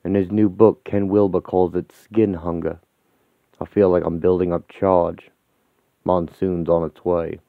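A man's voice: low voice, little pitch movement, unhurried, gravelly, no room sound. A man reading a crude, sardonic rebel. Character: sardonic, restless, crude young male rebel. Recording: tinny phone-like sound.

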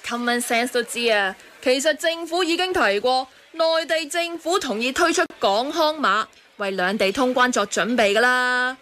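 A woman's voice: sits high, moderate pace, hardly any pauses, bright, forceful tone, a little hiss or hum. An actress in a radio drama playing an opinionated, know-it-all woman, emphatic, with a Hong Kong Cantonese accent.

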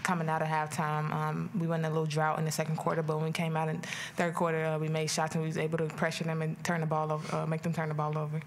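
A young woman basketball player answering questions at a postgame press conference, low-key and soft-spoken with many ums, into a table mic. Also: completely flat delivery, quick pace, few pauses, slight background noise. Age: young adult.

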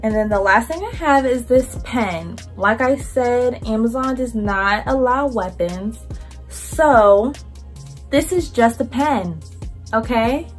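A woman's voice: on the high side, animated, takes it slowly, soft background music. A young woman, chatting to camera as a vlogger in a casual, slangy, blunt way with swearing.

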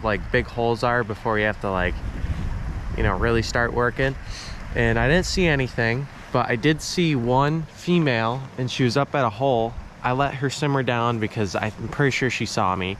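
Young male fishing vlogger, mid-pitch, casual, action-cam sound with faint creek noise. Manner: excited, breathless while landing a fish. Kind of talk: casual outdoor vlog commentary. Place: outdoors by a creek in winter. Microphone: action camera, chest and arm's length. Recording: faint stream noise outdoors. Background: flowing stream water.

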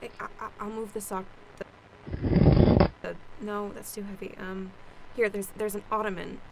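A woman's voice: medium pitch, level tone, a little slow, gravelly. A woman playing a nervous young girl snooping around, hesitantly talking to herself in an improvised radio play. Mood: exasperated searching. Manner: hesitant self-narration, muttering. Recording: loud background noise.

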